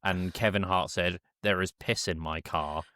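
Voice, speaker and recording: A man's voice: low voice, fairly even intonation, normal speed, bright, forceful tone, dry recording, clean studio sound. A man hosting a casual film review podcast, chatty and opinionated, given to tangents. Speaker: podcast host steering the discussion.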